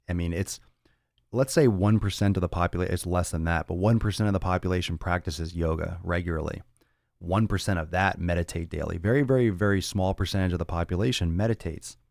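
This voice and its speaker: Fitness podcast host, male, very low voice, blunt and profane, dry close mic. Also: a little fast, darkish tone, no room sound. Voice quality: raspy on some days. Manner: blunt and profane, rambling with restarts. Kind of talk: casual solo talk answering listener chat.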